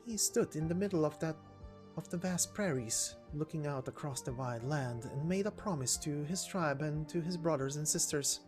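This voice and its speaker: A man voicing a calm old knight who speaks formally and solemnly, like a storyteller in a game, with music behind. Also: a little high, normal speed, lightly acted. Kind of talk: dramatic reading of game dialogue. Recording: voice over background music.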